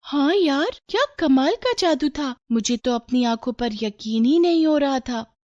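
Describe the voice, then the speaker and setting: A woman voicing an excitable, scheming young schoolgirl in a children's story. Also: high-pitched, measured pace, voice pushed up. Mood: excited.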